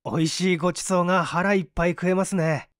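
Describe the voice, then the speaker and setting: A man's voice: high voice, steady tone, fast talker, no room sound, studio quality, natural voice. A man voicing a hungry, penniless young man, weary but courteous, coaxing and grumbling politely in a dramatised story reading.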